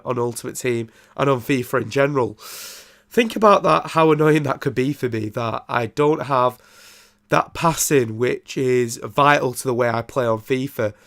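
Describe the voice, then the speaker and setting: Young podcast host with a blocked, nasal voice and plenty of gravel, chatting loosely at an ordinary pitch. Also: male voice, fairly bright tone. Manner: rambling, with many ums and restarts. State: congested and nasal from a throat infection. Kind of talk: casual solo podcast chat.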